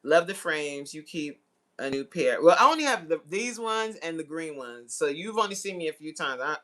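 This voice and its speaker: A woman in her forties hosts a casual livestream chat. Her voice is bright and forceful, with very lively swings in pitch. She talks in a teasing, slangy, giggly way. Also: normal speed. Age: 40s. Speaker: livestream host. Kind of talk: casual chat with live viewers reading comments.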